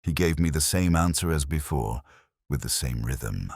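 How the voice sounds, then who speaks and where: A man reading scripted first-person detective fiction in a measured, literary, brooding manner. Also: very deep voice, animated, darkish tone, no echo, clean studio sound. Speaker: narrator.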